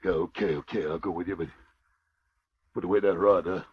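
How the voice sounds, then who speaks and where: An actor playing a reluctant, grumbling gangster henchman with a husky voice and wary slang, in old radio acting style. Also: sits low, steady tone, brisk. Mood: wary, protesting. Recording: dull vintage radio recording.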